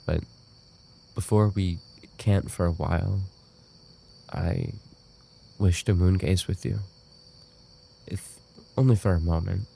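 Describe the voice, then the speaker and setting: A man playing an obsessive, unstable yandere roommate in an audio roleplay, with an intimate, halting delivery. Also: dark tone, slight background noise, full character voice. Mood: wistful, tender. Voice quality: low, gravelly. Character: obsessive male yandere roommate, soft-spoken, darkly devoted, unstable. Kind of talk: boyfriend ASMR audio roleplay.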